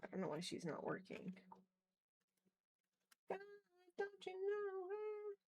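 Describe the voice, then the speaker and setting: A woman's voice: very high voice, huge pitch swings, slow, darkish tone. A woman in her thirties chatting casually on a live stream, laughing often and reading chat aloud while she tinkers with a keyboard at home. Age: 30s. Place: indoors at home, working on a keyboard.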